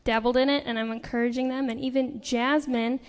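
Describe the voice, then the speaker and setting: A woman's voice: high-pitched, steady tone, a little fast. A young woman, a musician guest, chatting casually on stage with a wry, teasing manner. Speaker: band violinist and songwriter, guest. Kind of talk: casual onstage interview chat. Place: on stage in a hall. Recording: reverberant live stage hall.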